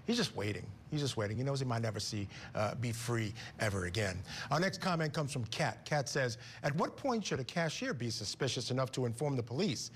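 A middle-aged man hosting a TV comment segment in a studio, talking with an animated, conversational manner on a lapel mic. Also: medium pitch, brisk, hardly any pauses, a little hiss or hum. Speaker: TV news host.

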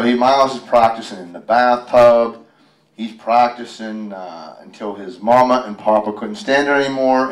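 An American man reading a picture book aloud at a casual storytime, joking and chatting with folksy asides. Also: fairly low pitch. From United States, Western Pennsylvania. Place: library room, live event. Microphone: handheld microphone held by a helper. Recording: roomy, distant from the microphone.

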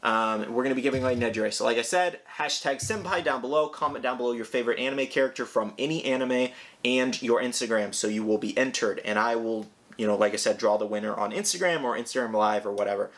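A young man with a hoarse, raspy voice from a sore throat, chatting casually as he unboxes a collectible toy in his home room. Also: medium pitch, quick pace, a bit bright. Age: young adult. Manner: chatty, rambling, eager.

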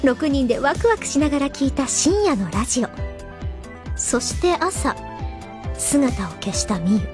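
A woman's voice: sits high, very animated, measured pace. A woman narrator reading a scripted radio drama introduction and recap. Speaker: announcer narrator. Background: music.

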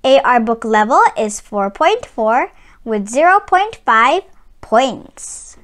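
Lady reading a kids book out loud, really slow, super animated up and down voice. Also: fairly high pitch. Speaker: children's book read-aloud channel host. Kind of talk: read-aloud picture book narration for children.

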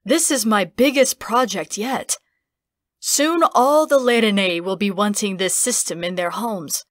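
A woman's voice: mid-range voice, unhurried, a bit bright, no echo, clean studio sound, close to an everyday voice. A woman voicing a proud fantasy builder in an audiobook, eager, chatty and fond of wordplay. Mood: pleased. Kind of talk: audiobook character acting.

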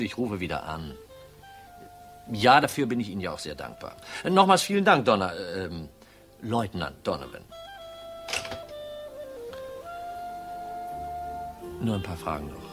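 Dry, low-voiced insurance detective. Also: male voice, fairly bright tone. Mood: impatient, businesslike. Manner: dry, terse, probing. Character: dogged, cool insurance detective, dryly probing. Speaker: lead actor and first-person narrator. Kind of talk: radio crime drama acting, noir narration.

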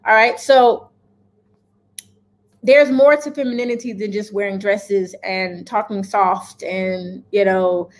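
A woman's voice: medium pitch, steady tone, takes it slowly. A woman in her thirties giving her views to camera from her home kitchen, direct and emphatic, often checking in with "okay?". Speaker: lifestyle vlogger. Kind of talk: casual opinion chat to camera.

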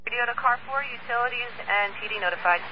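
Fire dispatcher over the radio, super bright crisp voice, talks fast, short procedural calls. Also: mid-range voice. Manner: brief procedural read-backs. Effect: two-way radio. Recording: slightly noisy scanner radio.

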